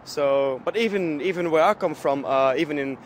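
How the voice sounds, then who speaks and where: A man's voice: fairly high pitch, normal speed, a little hiss or hum. A man from Belgium, interviewed on a city street, speaking hesitantly and searching for words. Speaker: passer-by interviewee.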